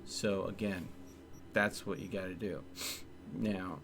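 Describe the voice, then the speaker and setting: A man's voice: low-pitched, steady tone, slow pace, vocal fry. A man giving casual live commentary on a video game, playful and talking to himself. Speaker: game streamer. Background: game music, vehicle sounds.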